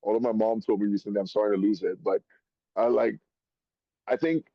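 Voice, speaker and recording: A man from Trinidad, a rapper guest, talking in an animated, slang-heavy way in a casual chat. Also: on the low side, a little fast, vocal fry, no echo. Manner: animated, slang-heavy, calls host bro. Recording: dull, dry lo-fi sound.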